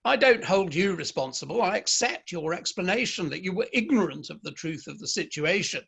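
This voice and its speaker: A man's voice: sits high, expressive, a little fast, gravelly, fairly bright tone, slightly rough, exaggerated voice. A man acting a stern, proud Victorian father and novelist in a play reading, with formal, emphatic speech. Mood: indignant, blustering.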